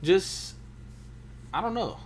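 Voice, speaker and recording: A man's voice: high-pitched, animated, takes it slowly, slight background noise. A man talking casually and colloquially, often checking that the listener follows him. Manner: colloquial, peppered with "you know what I'm saying". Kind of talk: casual relationship chat.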